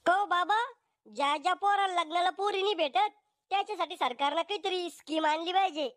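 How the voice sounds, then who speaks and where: Man doing a very high-pitched whiny young bachelor. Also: a little fast, a bit bright, no room sound, studio quality. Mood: petulant. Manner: pleading, petulant. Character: whiny young bachelor desperate to get married. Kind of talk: Marathi comedy cartoon acting.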